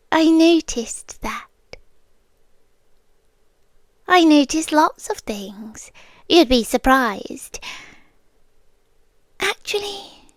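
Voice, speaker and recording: A British woman playing a possessive, menacing yandere girlfriend in an ASMR roleplay, soft-spoken and deliberate, often slipping into whispers, sweetly condescending. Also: high-pitched, dry recording, clean studio sound, exaggerated voice. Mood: wounded, coy. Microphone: close, dry microphone.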